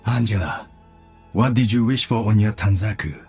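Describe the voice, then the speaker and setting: A man's voice: on the low side, normal speed, darkish tone, slightly rough. A man playing an honourable, reflective cyborg ninja in a video game. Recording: music behind the voice.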